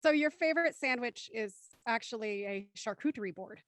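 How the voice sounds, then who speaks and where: High-pitched, animated woman host. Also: normal speed, no room sound. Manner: playful, teasing. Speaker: host introducing cast. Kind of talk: casual panel hosting, bantering.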